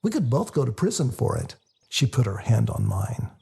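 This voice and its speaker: A man reading a novel aloud as a first-person dramatic narrator, in a confessional, expressive storytelling manner. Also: medium pitch, normal speed, vocal fry, husky. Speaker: author reading their own novel. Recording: music under the voice. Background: music.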